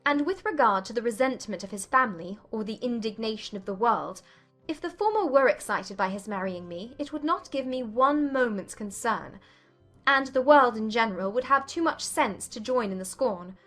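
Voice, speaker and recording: A woman playing a spirited, self-possessed young English gentlewoman in a dramatized audiobook, speaking firmly and formally. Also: fairly high pitch, animated, moderate pace, faint music behind, voice pushed up. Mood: cool defiance. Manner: firm, precise, formal.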